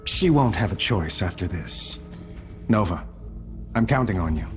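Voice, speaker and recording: An actor playing a grave, resolute young emperor in a video game cutscene, speaking in a measured, commanding, formal way. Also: male voice, sits low, animated, over music. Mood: determined. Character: resolute, grave young male emperor plotting against a traitor.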